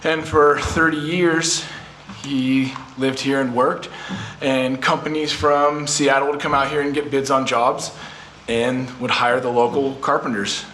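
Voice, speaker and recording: A man making brief, calm, polite remarks at a public meeting. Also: mid-range voice, unhurried, slight background noise. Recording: echoey meeting room.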